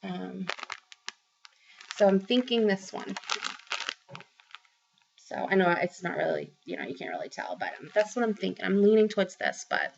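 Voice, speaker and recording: A woman's voice: mid-range voice, very animated, normal speed, vocal fry, long pauses, background noise. A woman in her forties with a Boston accent, chatting to camera about her craft haul, rambling with lots of ums and restarts. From Boston area, Massachusetts. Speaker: craft vlogger. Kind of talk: casual chat to camera. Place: small room at home, indoors. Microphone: webcam close up. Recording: dull lo-fi webcam sound.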